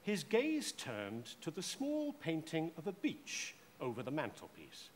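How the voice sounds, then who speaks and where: A man narrating a scripted short story in a measured, theatrical way. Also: a little high, huge pitch swings. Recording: echoey hall with faint noise.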